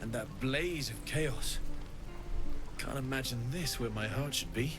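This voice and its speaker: An actor plays a gentle smith from a fantasy video game. He talks in a soft, thoughtful way at a middling pitch, earnest and reassuring, with music clearly behind him. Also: unhurried, close to an everyday voice. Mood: focused, thoughtful. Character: earnest, gentle male infernal tinkerer smith.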